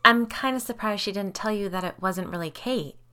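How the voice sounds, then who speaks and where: A concerned but firm woman friend in a spooky audio story, acted by a female narrator. She presses her point plainly, in a bright, crisp-edged voice. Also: medium pitch, fairly quick, lightly acted. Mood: probing.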